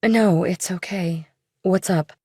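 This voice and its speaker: A woman's voice: lowish voice, takes it slowly, darkish tone, slightly rough, no echo, clean studio sound. A woman voicing an evasive, nonchalant young woman in an audiobook, casual and a little defensive. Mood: groggy, casual. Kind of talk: audiobook character dialogue.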